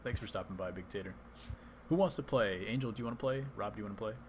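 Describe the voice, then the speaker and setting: Lowish guy streaming a baseball game, chatting w chat, noisy mic hiss behind. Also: fast, darkish tone. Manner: chatty, reads and answers chat while playing. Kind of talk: casual live-stream commentary.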